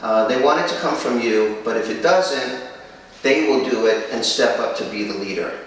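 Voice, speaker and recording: A man's voice: mid-range voice, normal speed. Man presenting in a very echoey room. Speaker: kennel owner and host. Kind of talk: presenting and interviewing for a dog training video. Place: room in a home. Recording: echoey room, distant mic, faint noise.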